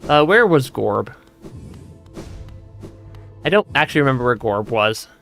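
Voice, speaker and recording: Hoarse giggly male streamer, bright voice. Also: medium pitch, sing-song, a little slow. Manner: giggly, laughs often, stammers and repeats words. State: losing his voice, voice cutting out. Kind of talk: casual gameplay commentary. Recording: music playing behind.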